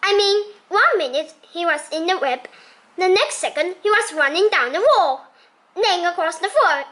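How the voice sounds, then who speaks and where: Very high-voiced woman reading a children's story. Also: animated, moderate pace, a bit bright. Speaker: storybook reader. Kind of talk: expressive children's story read-aloud.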